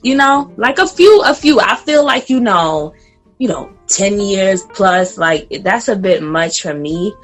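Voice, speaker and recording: A woman in her late twenties chatting casually as a podcast guest, animated and laughing, sometimes talking straight to the listeners. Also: mid-range voice, measured pace, faint music behind. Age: 20s.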